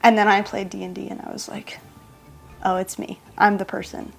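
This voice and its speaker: A woman vlogger talks straight to camera in a relaxed, chatty way at a mid pitch. She pokes fun at herself and slips small laughs into her sentences, with faint music running underneath. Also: moderate pace, vocal fry. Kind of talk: casual talk to camera.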